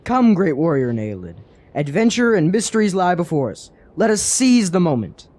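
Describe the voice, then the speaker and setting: An actor playing a thoughtful, questioning adventurer in a fantasy radio drama, earnest and persuasive, with formal phrasing. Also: male voice, high voice, very animated, moderate pace, a little mellow.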